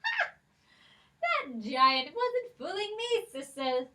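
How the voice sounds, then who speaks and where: A woman voicing a clever, confident young girl in a children's puppet show, animated and exclamatory. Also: extremely high pitch, slow pace, full character voice. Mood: plucky, triumphant.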